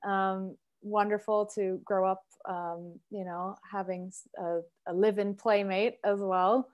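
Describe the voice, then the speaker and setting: A woman in her thirties from Canada, a singer and sax player, chatting as a guest on a video call from home in an expressive, storytelling way. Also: medium pitch, a little slow, lo-fi sound. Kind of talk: casual interview chat. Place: home room, indoors.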